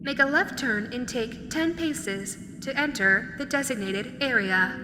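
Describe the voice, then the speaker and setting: A woman's voice: on the high side, unhurried, natural voice. A woman playing a polite, officious robotic guide device in an audio roleplay, giving formal, matter-of-fact directions like scripted announcements. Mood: politely officious. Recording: long hall reverb, clear background noise.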